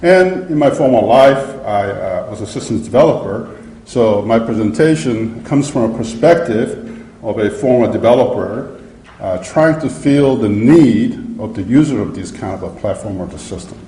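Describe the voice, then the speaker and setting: A man gives a seminar presentation in a mellow, relaxed voice that carries through a roomy hall. He speaks in a halting way, with frequent fillers and restarts as he works through his points. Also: lowish voice. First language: English. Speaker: academic conference speaker. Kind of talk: conference presentation. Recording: echoey lecture hall.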